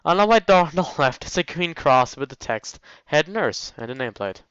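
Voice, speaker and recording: A man's voice: on the high side, expressive, a little fast, a bit bright, clear, resonant tone, no room sound, dull audio. A man hosting a let's play, reading visual novel text aloud with casual, jokey asides and getting easily sidetracked. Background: faint game music.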